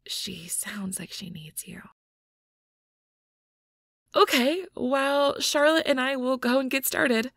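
A woman's voice: high-pitched, expressive, slow, vocal fry, pauses often, fairly bright tone, dry recording, clean studio sound, exaggerated voice. A woman voicing a caring, anxious, responsible young woman who speaks carefully and breathily in an audio roleplay. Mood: concerned.

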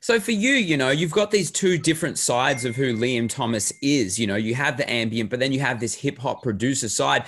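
A man's voice: medium pitch, expressive, fairly quick, few pauses, a bit bright, a little hiss or hum. Chatty male podcast host. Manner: long wandering questions with restarts and "you know". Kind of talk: interview host questions. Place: home music studio. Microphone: studio microphone with headphones.

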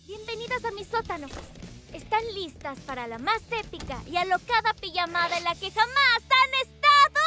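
Little girl inventor, children's cartoon, very high-pitched, animated, eager, anxious, emphatic. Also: normal speed, faint music behind, heavily acted. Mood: excited, boastful. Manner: dramatic, emphatic delivery.

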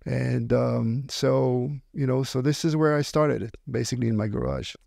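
A man's voice: medium pitch, steady tone, moderate pace, vocal fry, darkish tone, no echo. Middle-aged male founder with an Israeli accent, mid-range voice, casual interview storytelling, very dry sound. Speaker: company founder, podcast guest.

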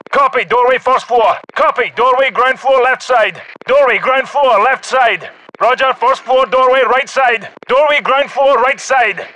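A man playing a gruff, battle-hardened Scottish special forces soldier in a military shooter game, giving clipped, urgent, commanding combat callouts. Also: very high-pitched, sharp tone, a little hiss or hum, low-quality recording. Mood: brisk, urgent.

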